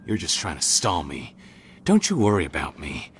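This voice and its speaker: A man's voice: extremely low pitch, wildly swooping pitch, moderate pace, fairly bright tone, a little hiss or hum, heavily acted. An actor playing a cruel, scheming male criminal who sneers and mocks his captives in a video game. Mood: sneering. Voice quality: sneering, mocking laughs.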